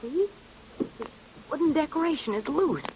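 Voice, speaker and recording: An eager, inquisitive lady sleuth in a 1940s radio mystery. Her voice is high and animated, with an earnest, theatrical edge. The recording is old and dull. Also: moderate pace, background noise. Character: eager, curious female sleuth companion. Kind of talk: 1940s radio drama acting.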